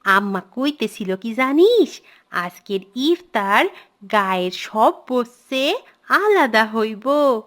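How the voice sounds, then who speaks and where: A woman's voice: on the high side, big pitch swings, slow pace, fairly bright tone, exaggerated voice. A woman voicing a proud, boastful young village girl in a cartoon, with speech. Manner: bragging, rustic colloquial Bengali.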